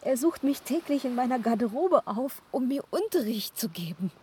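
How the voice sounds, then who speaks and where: An actress playing an earnest, proud and easily wounded young singer in a German radio drama. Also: female voice, fairly high pitch, expressive, normal speed, dark tone, slight background noise, natural voice. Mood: earnest wonder.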